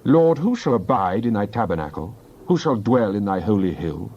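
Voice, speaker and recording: A man's voice: on the low side, big pitch swings, darkish tone, breathy edge. Solemn man reading scripture, dull audio. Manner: solemn, declamatory, deliberate phrasing. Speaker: scripture narrator. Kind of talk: dramatized Bible reading.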